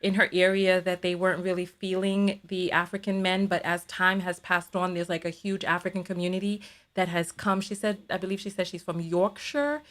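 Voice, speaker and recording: A woman's voice: lowish voice, fairly even intonation, normal speed, fairly bright tone. A woman hosting a live talk show, asking a caller questions and relaying her points to the audience. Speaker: host. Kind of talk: casual chat.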